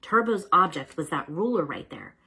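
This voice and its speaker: A woman reading a children's story aloud in an animated narrating style. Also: lowish voice, moderate pace, breathy edge. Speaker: storybook reader.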